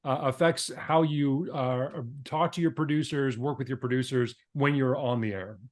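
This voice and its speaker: A man's voice: medium pitch, fairly even intonation, moderate pace. A man hosting a podcast interview in a conversational style, building up to questions with long, wordy lead-ins. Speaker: podcast host.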